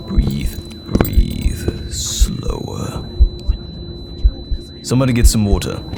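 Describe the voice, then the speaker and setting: A man playing a steadfast knight general who protects common folk, measured, commanding and reassuring, in a fantasy audio roleplay. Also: heavily acted. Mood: hushed, soothing, then urgent. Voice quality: deep, gravelly. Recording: background music under the voice. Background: music.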